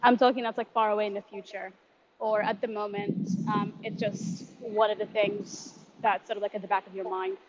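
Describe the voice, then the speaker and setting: A woman's voice: medium pitch, little pitch movement, moderate pace. A woman with a mid-range voice chats informally as an interview host. Her talk is loose and slangy. The online call sounds slightly muffled, with faint noise behind her. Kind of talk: casual live audio chat. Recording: muffled, noisy online audio chat.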